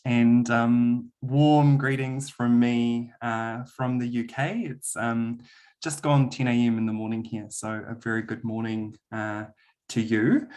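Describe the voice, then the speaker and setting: A man's voice: mid-range voice, darkish tone. New Zealand man lecturing on a video call. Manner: friendly, frequent "um" fillers. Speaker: university lecturer. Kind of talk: webinar presentation.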